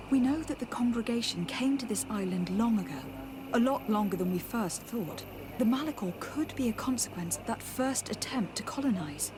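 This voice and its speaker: A woman acting an earnest, diplomatic young envoy in scripted game dialogue, speaking in a measured, formal way. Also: a little high, noisy background, natural voice. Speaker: game protagonist. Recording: game music behind the voice.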